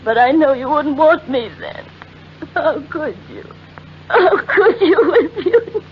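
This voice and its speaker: Secretive, anguished wife for old radio drama: high voice, slow hesitant pleading, dull hissy 1940s sound. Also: female voice, sing-song, full character voice. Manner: hesitant, halting, melodramatic. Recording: muffled, noisy 1940s radio recording.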